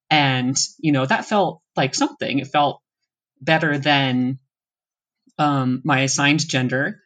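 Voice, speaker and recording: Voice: medium pitch, fairly bright tone. Voz de timbre ambíguo, pensativa e risonha. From East Coast of the US. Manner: thoughtful, rambling, with frequent laughs and restarts. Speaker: interview guest. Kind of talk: casual interview conversation.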